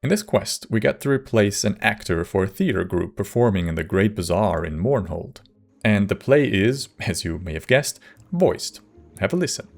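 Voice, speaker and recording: A man's voice: fairly low pitch, lively intonation, normal speed, creaky, soft background music. A man hosting a scripted video essay about games, speaking as a narrator.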